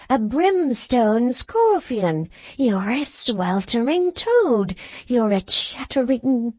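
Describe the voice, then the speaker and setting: Woman voicing a slow, gasping, spiteful old man. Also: a little high, a little mellow, no room sound, heavily acted. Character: irritable, wheedling, breathless old man moneylender.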